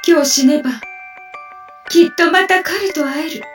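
A woman's voice: very high-pitched, unhurried. A woman acting a yearning, desperate young woman in a supernatural audio drama, echoey and distant over music.

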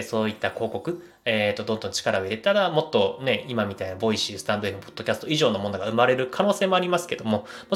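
A man hosts a casual solo audio show at a quick pace. His pitch sits in an average male range. He explains things in halting, hedging phrases, as someone talking off the cuff to listeners. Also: fairly bright tone. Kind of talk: casual solo podcast talk.

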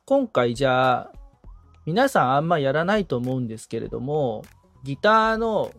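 Man thinking aloud in lively, varied pitch. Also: moderate pace, creaky, dry recording, soft background music. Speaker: podcast assistant and trainee podcaster. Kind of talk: casual, polite consultation.

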